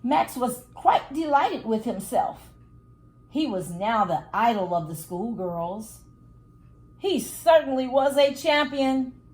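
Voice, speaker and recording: A woman storyteller reading a children's book aloud in a high, very slow voice. Her phrasing is dramatic and lively, and the sound is echoey and roomy. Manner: deliberate, dramatic phrasing with acted sound words. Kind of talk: expressive picture-book read-aloud.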